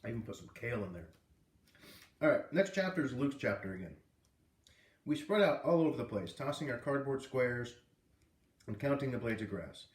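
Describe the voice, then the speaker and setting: A man reading a children's novel aloud in an expressive, animated way, with casual asides. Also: medium pitch, normal speed, long pauses. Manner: animated, puts on character voices. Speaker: read-aloud host. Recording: roomy, distant microphone.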